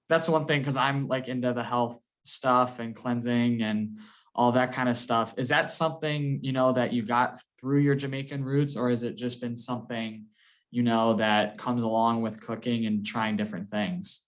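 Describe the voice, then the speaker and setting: A man's voice: mid-range voice, fairly even intonation, normal speed. A man hosting a casual interview, friendly and loose, with lots of "you know" and "um". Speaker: host.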